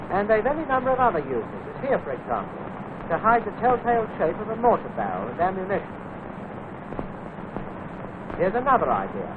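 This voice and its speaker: A man's voice: high-pitched, huge pitch swings, brisk, long pauses. A British man narrating a 1940s military training film in a clipped, instructive manner, addressing soldiers directly. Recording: tinny, noisy vintage wartime film soundtrack.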